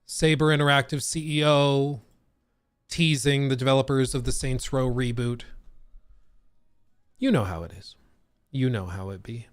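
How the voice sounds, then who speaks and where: A man's voice: medium pitch, a little slow, long pauses, dry recording, clean studio sound. A man hosting a casual live stream, slightly wheezy and out of breath, rambling with lots of fillers and restarts. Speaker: streaming host.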